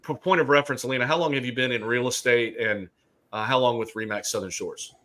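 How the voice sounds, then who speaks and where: A middle-aged man from Alabama hosts a relaxed discussion. He talks in a chatty, joking way with plenty of fillers, at an ordinary pitch. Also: fast talker. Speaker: host. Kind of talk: casual chat.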